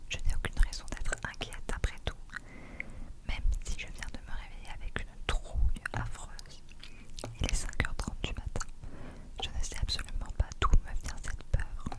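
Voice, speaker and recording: A woman speaking in a soft, breathy, unvoiced whisper in an intimate ASMR reading style. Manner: soft, hushed, intimate delivery. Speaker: ASMR content creator reading a book aloud.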